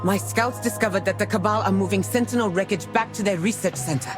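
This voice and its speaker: An actress playing a loyal, diplomatic scout commander in a video game, composed, formal and measured. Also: female voice, mid-range voice, over music, close to an everyday voice. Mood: composed, briefing. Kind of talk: dramatic video game acting.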